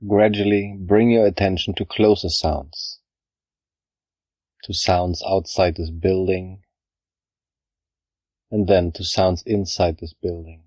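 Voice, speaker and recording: Man, low voice, calm guided meditation delivery, measured instructions with pauses, dry sound. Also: dry recording. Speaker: yoga nidra guide. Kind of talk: guided meditation, scripted narration.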